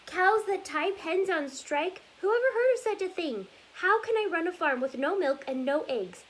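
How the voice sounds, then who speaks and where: A woman's voice: a little high, normal speed, a little mellow, slight background noise, natural voice. A woman voicing a flustered male farmer who cries out in outrage. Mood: outraged. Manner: crying out in outrage.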